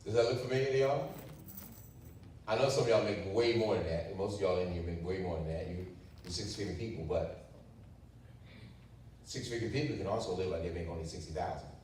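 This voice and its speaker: A man's voice: low-pitched, fairly quick, pauses often, a little mellow, far from the mic, a little hiss or hum. A male pastor talking casually with his congregation, repeating questions to keep them engaged. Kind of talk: sermon talk with the congregation. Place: church hall. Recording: echoey church hall, mic from afar.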